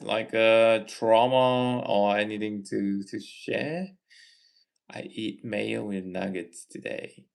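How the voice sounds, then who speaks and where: Young guy from malaysia on a stream, slow talker, kinda gravelly, earnest advice vibe. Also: lowish voice. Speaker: livestream host. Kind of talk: casual live chat reading comments.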